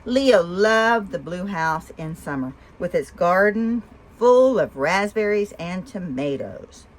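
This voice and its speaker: Lively low-pitched woman reading a picture book. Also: slow pace. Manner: asks listeners simple questions between story lines. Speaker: storyteller and host of a read-aloud show. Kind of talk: expressive picture-book read-aloud for young children.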